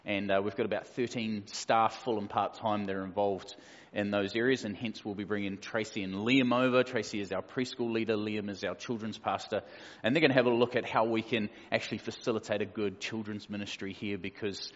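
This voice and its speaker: A man's voice: on the low side, fairly even intonation, fairly quick. A man in his forties with a New Zealand accent, a pastor talking casually to his church, easygoing and joking with plenty of fillers. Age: 40s. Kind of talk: casual church welcome talk. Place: church hall. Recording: echoey hall, dull lo-fi sound.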